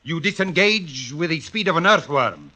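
An old fencing maestro in a vintage radio play. His bright, forceful voice swings widely as he presses his point, impatient and emphatic, repeating words. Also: on the high side, animated, normal speed. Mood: impatient, scolding. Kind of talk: 1950s radio drama acting.

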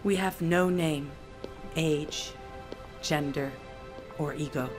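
A woman's voice: sits low, steady tone, slow pace, faint music behind. An actress playing a bitter, defiant female assassin in a video game, with a brooding, biting delivery. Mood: bleak, resigned. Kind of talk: dramatic video game acting.

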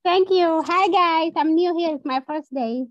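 High-pitched woman from South Sudan, shy poet, muffled dry call audio. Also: unhurried, dry recording. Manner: shy, laughing, warm and hesitant. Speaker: poet attending open mic. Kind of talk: casual self-introduction on video call. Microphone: video call.

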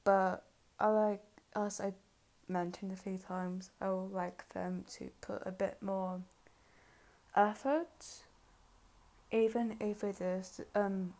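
A woman gives casual reaction commentary as host. She talks slowly and hesitantly at an average pitch, working out her opinion as she goes. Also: level tone, a little mellow. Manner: hesitant, thinking aloud.

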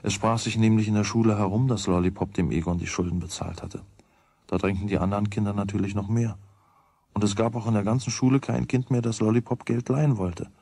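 A man's voice: lowish voice, fairly even intonation, a little fast, gravelly, warm tone, slightly rough, dry recording. A man narrating a children's radio play in a scripted storytelling style.

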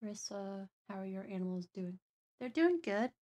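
A woman hosts a livestream in a casual, playful way. Her voice sits at an ordinary middle pitch, and she makes easy, chirpy small talk. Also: lively intonation, moderate pace, mellow. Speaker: livestream host.

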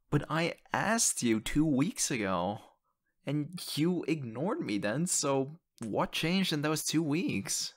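A man performs a young boyfriend in an ASMR audio roleplay, affectionate and easily excited. His mid-pitched male voice moves slowly, with halting repeats of words. He teases playfully, as if talking closely to a partner. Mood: teasing, affectionate. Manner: halting, repeats words, breathy laughs and sighs. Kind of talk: ASMR audio roleplay, one-sided intimate conversation.

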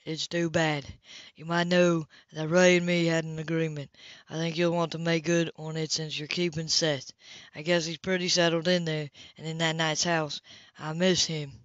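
A woman's voice: deep voice, level tone, moderate pace, no echo, lightly acted. A woman reading a novel as a man who recites a sly, self-pitying letter. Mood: grim, restrained. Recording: dull lo-fi home recording.

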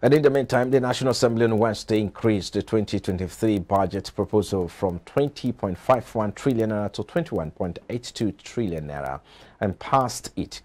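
Low-voiced Nigerian male news anchor. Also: darkish tone. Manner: formal, measured announcer delivery. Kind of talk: scripted news reading. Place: TV news studio.

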